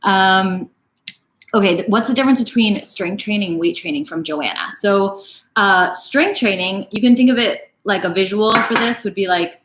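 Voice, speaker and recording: A woman's voice: mid-range voice, moderate pace, a bit bright, reverberant, slight background noise. A woman in her late twenties, a fitness coach casually answering viewer questions in a live stream, full of "like" and "um" fillers. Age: late 20s. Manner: casual, chatty, frequent "like" and "um" fillers.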